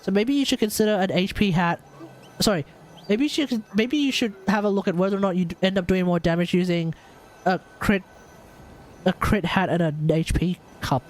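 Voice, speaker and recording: A game streamer chatting casually and rambling through builds in an incredulous, sweary way. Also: medium pitch. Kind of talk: casual livestream chat about game builds.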